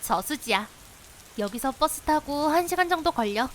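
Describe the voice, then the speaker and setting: A woman playing a persistent young female investigator in a visual novel, playful and sulky, with a wheedling, pouty, expressive delivery. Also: high-pitched, normal speed. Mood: insistent, evasive. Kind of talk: visual novel voice acting, anime-like drama. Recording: hiss with steady rain behind.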